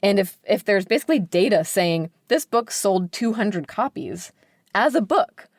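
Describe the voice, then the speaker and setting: A woman's voice: medium pitch, expressive, moderate pace, no echo, clean studio sound. An American woman speaking candidly and emphatically as an editor being interviewed on a podcast, peppering her talk with "like". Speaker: book editor, podcast guest.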